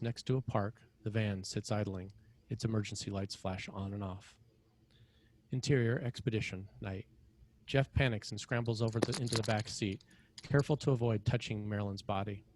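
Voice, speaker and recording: A man with a fairly low voice narrates a screenplay. He reads out scene headings and action as scripted narration for a table read. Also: a little mellow, a little hiss or hum.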